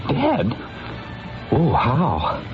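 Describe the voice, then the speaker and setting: A stammering, wildly swooping nervous underling. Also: male voice, a little high, huge pitch swings, extremely slow, music in the background, full character voice. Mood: breathless alarm. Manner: stammering, deferential, flustered. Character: nervous, obsequious male underling, easily flustered. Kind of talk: old-time radio drama acting. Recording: muffled, band-limited old radio recording.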